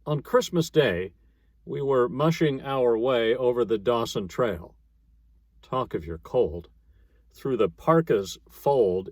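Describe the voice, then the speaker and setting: A man reading a narrative poem aloud as a dramatic storyteller, with measured, rhythmic delivery. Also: mid-range voice, a little mellow, no room sound. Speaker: narrator, poetry reader.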